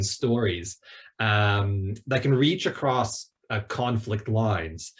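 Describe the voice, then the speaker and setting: Lowish-voiced man talking academically. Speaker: academic panelist. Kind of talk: semi-prepared academic talk. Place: online video call.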